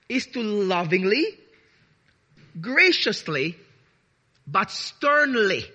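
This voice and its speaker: A man preaching a sermon to his congregation, earnest and deliberate, with frequent restarts, drawing out key words. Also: sits high, pushed and bright. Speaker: pastor.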